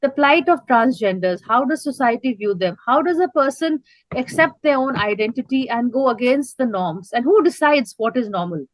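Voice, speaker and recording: A woman hosts a TV interview program, moving from crisp introductions to searching, gently hesitant questions. Her voice is fairly high, and the sound is dry and close. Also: animated, moderate pace, dry recording, low-quality recording. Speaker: TV news host. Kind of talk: TV interview show hosting.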